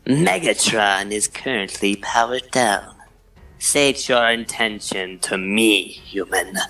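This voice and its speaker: A man's voice: fairly low pitch, takes it slowly, pushed and bright, exaggerated voice. A man voicing a scheming, commanding alien robot villain with an imperious, formal delivery in a dramatic comic dub. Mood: grandiose, cold.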